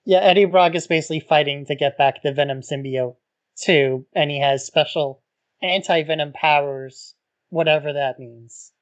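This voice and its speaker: A man co-hosting a podcast, talking in a relaxed way with wry asides. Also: on the high side, normal speed, dull audio. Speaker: podcast co-host. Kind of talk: casual podcast discussion.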